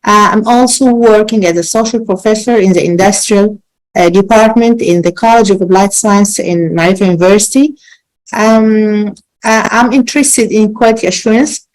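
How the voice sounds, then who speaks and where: Egyptian woman presenting, careful and halting. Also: medium pitch, poor audio. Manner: careful, halting, repeats words. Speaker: associate professor, presenter. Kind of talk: academic conference presentation. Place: virtual conference call.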